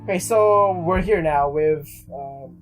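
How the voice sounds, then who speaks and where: Game music plays under a let's player with a mid-pitched voice. The player reads a visual novel aloud dramatically, often tripping over words, and adds casual reactions. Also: slow. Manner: stumbling, dramatic read-aloud.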